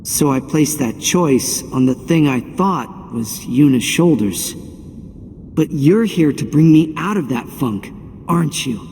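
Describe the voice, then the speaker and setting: An actor playing an earnest, cocky young athlete hero in a video game cutscene, speaking casually. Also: male voice, fairly high pitch, animated, slow, darkish tone, a little hiss or hum. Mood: earnest resolve. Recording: long hall reverb, music behind. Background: game music.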